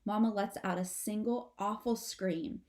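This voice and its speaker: A woman narrating a children's book aloud in an expressive, measured, dramatic storytelling manner. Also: medium pitch. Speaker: storybook reader.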